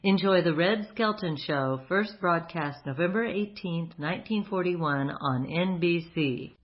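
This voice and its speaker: A woman's voice: on the low side, measured pace, dull audio. A woman host reading a scripted introduction for a radio program.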